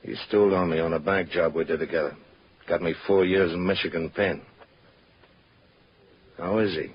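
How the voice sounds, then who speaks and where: An actor with an American accent playing a sneering, taunting killer gangster in 1940s radio noir style. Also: male voice, low-pitched, steady tone, moderate pace, close to an everyday voice. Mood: cold, menacing. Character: menacing, taunting male killer gangster with a gun. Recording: muffled, noisy old radio recording.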